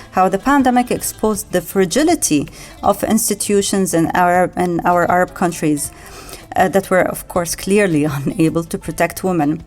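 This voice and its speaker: A woman's voice: medium pitch, darkish tone. A woman hosting a podcast and putting interview questions to a guest.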